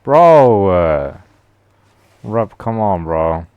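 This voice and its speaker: A man with a deep voice, rambling casually and slangily to his stream audience. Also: sing-song, extremely slow, darkish tone, clean tone, no echo, lo-fi sound. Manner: slangy, rambling, says "bro" a lot. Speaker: live streamer.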